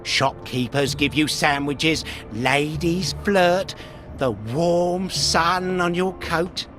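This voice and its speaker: A man's voice: fairly high pitch, slow pace, fairly bright tone, faint music behind. A man with a British accent playing a chatty, petty records policeman in a video game, rambling and pleased with himself. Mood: wistful, aggrieved. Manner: rambling, self-pleased, chuckling. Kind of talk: video game character acting.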